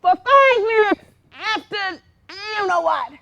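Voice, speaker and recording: A woman's voice: very high-pitched, animated, slow pace, fairly bright tone, no room sound, highs cut off, full character voice. An actress playing a blunt, meddling, eccentric mother in a staged comedy, nosy and coyly hesitant. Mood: bossy, coy. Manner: nosy, coy euphemisms with hesitations. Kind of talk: staged reading of a comic play.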